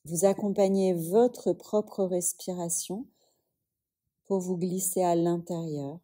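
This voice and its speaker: Very slow, soft dark-voiced woman guiding meditation. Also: fairly low pitch, steady tone. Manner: soothing, unhurried, with long pauses. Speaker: yoga nidra teacher. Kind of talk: guided relaxation meditation.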